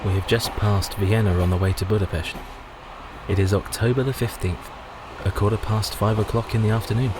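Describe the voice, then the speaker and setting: A protective young Victorian husband acted by a man speaks in a low voice, formal and earnest. The radio-play delivery sits over faint background noise. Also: fairly even intonation, brisk, natural voice. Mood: tender, grave.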